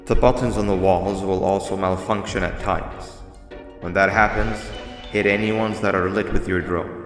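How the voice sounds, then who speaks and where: An actor voicing a calm, grave monster mascot in a video game, giving measured, formal instructions like a schemer. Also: male voice, low-pitched. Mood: calm, instructive. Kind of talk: scripted video game dialogue. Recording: roomy reverb with music underneath.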